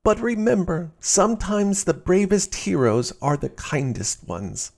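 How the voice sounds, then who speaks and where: A man puts on a doting mother dragon from a children's storybook. The voice is pitched high and swings in a sing-song, coaxing way. She sounds motherly and warmly bossy. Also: sing-song, a little slow, vocal fry, heavily acted. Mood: fond, wise.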